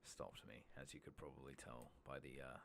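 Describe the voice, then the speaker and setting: Male, soft-spoken ASMR gameplay host: extremely low, gravelly voice edging into whispers, musing quietly, a little worn from a cold. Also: steady tone, moderate pace, a little hiss or hum. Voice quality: soft, breathy, often dropping to a whisper. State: recovering from a cough and cold.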